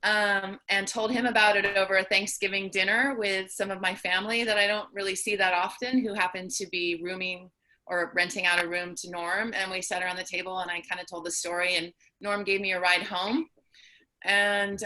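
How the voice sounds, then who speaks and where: A woman's voice: medium pitch, pushed and bright. A middle-aged woman playwright casually explaining her own play. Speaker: playwright and performer.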